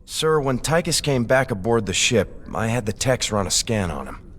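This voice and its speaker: An actor playing an earnest, dutiful second-in-command officer in a video game, serious and formal. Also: male voice, medium pitch, sing-song, normal speed, slight background noise, natural voice. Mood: grave. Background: game music.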